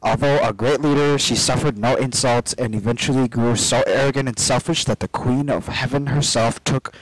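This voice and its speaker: A man's voice: medium pitch, moderate pace, no echo, rough recording. A man giving casual, sweary commentary while playing a video game. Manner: animated, sweary, prone to yelps. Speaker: gamer, co-player. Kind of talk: casual let's play commentary.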